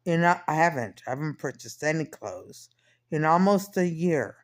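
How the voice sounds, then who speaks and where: A woman's voice: low voice, animated, moderate pace. A woman in her fifties chatting casually in a rambling personal diary style, often repeating and correcting herself. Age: 50s. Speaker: personal vlogger.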